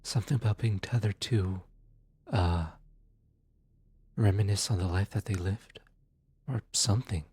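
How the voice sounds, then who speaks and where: A performer playing a gentle, awkward demon soul retriever in an ASMR audio roleplay, speaking softly and hesitantly, often close to a whisper. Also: sits low, slightly rough, no echo. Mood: uneasy muttering. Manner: hesitant, halting, sighing, muttering to self.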